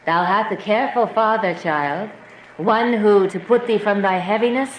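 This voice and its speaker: Casting: actress as imperious middle-aged noble mother, medium pitch, cold formal verse, radio play, roomy echo. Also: moderate pace, lightly acted. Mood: brisk, pleased. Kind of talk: Shakespearean radio drama acting. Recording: echoey room, faint background noise.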